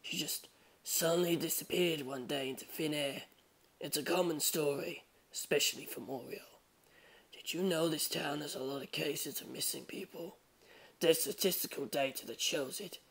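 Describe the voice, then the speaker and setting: A man voicing an aloof, bookish teenage boy in an audiobook, speaking softly in a detached deadpan. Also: a little high, normal speed, low-quality recording. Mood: detached, morbid. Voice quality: hushed, soft.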